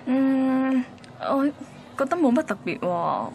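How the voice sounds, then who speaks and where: A woman's voice: high voice, very animated, takes it slowly, a little mellow, slight background noise, heavily acted. An actress playing a bored, wistful young woman from a radio drama, with a Hong Kong accent. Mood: curious, hesitant. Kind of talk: radio drama dialogue and narration.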